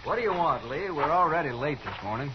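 An American actor playing a surly, insolent cowhand villain in a 1950s radio Western, sneering and defiant. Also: male voice, fairly high pitch, sing-song, fast. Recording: muffled, noisy 1950s radio recording.

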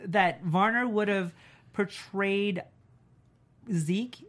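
A woman's voice: medium pitch, very slow. A woman co-hosting a recap show, chatting casually and retelling scenes by acting out dialogue. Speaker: co-host of a reality TV after-show. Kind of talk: casual panel chat.

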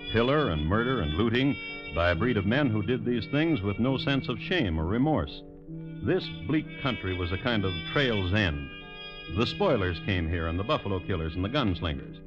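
Wry guy narrating old time radio crime story, formal, deep voice, music behind, dull sound. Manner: dry, wry, measured formality. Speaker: host and narrator of crime drama. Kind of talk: 1950s radio scripted storytelling.

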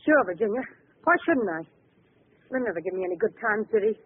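A woman's voice: mid-range voice, expressive, fast talker, narrow, tinny audio, close to an everyday voice. Woman playing a brassy, snappish gangster's wife. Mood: curt, defiant. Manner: curt, snappish. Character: hard-boiled gangster's wife, brassy, impatient, selfish. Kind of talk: classic radio drama acting. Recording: noisy, thin old radio recording.

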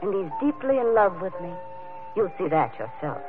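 Haughty priestess, old radio. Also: female voice, on the low side, sing-song, normal speed. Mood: haughty, possessive. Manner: imperious, cool, formal. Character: haughty, languid, scheming high priestess. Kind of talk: 1940s radio drama acting. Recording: narrow vintage radio recording, faint music.

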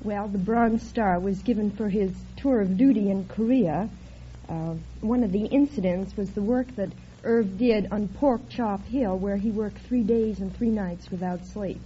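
A woman's voice: mid-range voice, a little slow, warm tone. A young woman, a quiz show contestant, politely answering interview questions. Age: young adult. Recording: muffled old radio broadcast, faint noise.

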